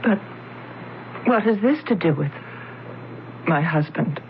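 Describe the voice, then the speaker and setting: An actress playing a distraught, grieving wife in a radio drama, emotional and incredulous. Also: female voice, on the low side, animated, slow, a little hiss or hum. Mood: accusing. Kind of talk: 1970s radio drama acting. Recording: tinny lo-fi old radio broadcast.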